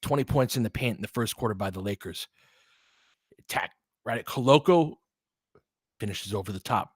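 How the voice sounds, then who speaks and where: Excitable man calling a basketball game. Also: lowish voice, slightly rough, no room sound, clean studio sound. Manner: quick, excitable calls with chatty asides. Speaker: play-by-play commentator. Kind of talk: fan-made basketball play-by-play commentary.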